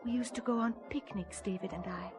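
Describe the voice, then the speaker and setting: A woman's voice: medium pitch, fairly quick, natural voice. An actress playing a guarded, lonely, lovelorn woman in an audio drama, speaking formally and earnestly with careful phrasing. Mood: wistful, confiding. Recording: music playing behind the voice. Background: music.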